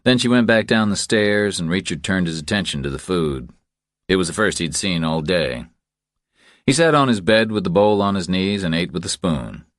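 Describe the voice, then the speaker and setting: A man's voice: low voice, animated, normal speed, a bit bright, no room sound, clean studio sound. A man reading a thriller novel as scripted audiobook narration.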